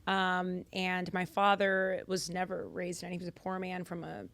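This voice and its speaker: A young woman from Massachusetts, a podcast guest telling her story in casual chat, animated and louder for emphasis, on a studio boom mic. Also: normal speed, vocal fry. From Massachusetts, United States. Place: podcast studio with purple lighting.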